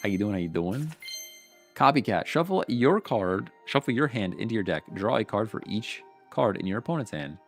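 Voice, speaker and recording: A man with a stuffy, congested voice streams his commentary, reading card text aloud and then giving his blunt, wry take on it. His voice sits a little low. Faint music plays in the background. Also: very animated, normal speed, gravelly. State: congested, sniffling. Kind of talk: casual pack-opening commentary.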